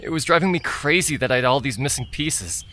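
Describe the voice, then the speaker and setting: Man acting a bright-voiced, troubled young man. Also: mid-range voice, a little fast, lightly acted. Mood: hesitant. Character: troubled, self-doubting young man, eldest brother. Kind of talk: audio drama dialogue.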